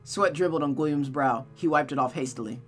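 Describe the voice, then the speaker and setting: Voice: mid-range voice, fairly quick, soft background music. A narrator reading a visual novel aloud in a dramatic storytelling manner, as a streamer does. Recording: noticeable background hum and noise.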